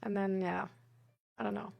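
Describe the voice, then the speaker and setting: A woman's voice: mid-range voice, little pitch movement, normal speed, gravelly, darkish tone. A woman in casual, rambling chat, thinking aloud in an informal way.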